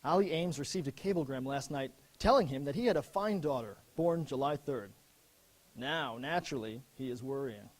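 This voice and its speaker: An actor playing an earnest young American lieutenant in a dramatic, expressive reading of his letters home from war. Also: medium pitch, moderate pace, lightly acted. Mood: conversational.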